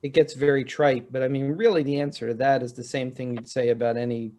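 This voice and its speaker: Regular guy on a panel, medium pitch, chatty, keeps qualifying what he says. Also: flat delivery, fast, a little mellow. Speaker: convention panelist. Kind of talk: conversational panel discussion.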